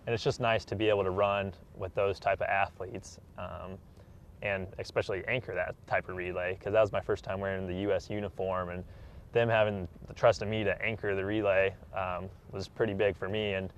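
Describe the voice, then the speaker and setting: Low-voiced young American male athlete. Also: flat delivery, brisk, lo-fi sound, a little hiss or hum. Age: young adult. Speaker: college track athlete being interviewed. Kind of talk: casual interview answers.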